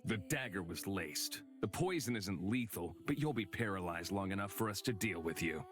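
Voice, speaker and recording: A man playing a blunt, hard, taunting fighter in a visual novel, with a curt and forceful manner. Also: deep voice, lively intonation, normal speed. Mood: cold, matter-of-fact. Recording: music behind the voice.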